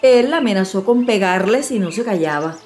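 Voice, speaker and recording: A woman storyteller reading a scripted fairy tale in an expressive, measured way. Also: mid-range voice, soft background music. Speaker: audiobook storyteller.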